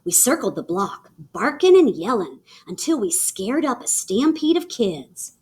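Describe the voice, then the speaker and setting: A woman reading a children's picture book aloud in an animated, theatrical way with dramatic pauses. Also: on the high side, slightly rough. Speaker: read-aloud storyteller.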